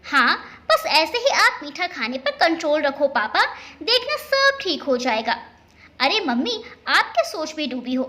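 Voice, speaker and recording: A woman plays a young married daughter in an audio story. She is chirpy and caring, with a high, very lively voice. Her tone is bright, affectionate and reassuring. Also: a little slow, exaggerated voice. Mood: encouraging.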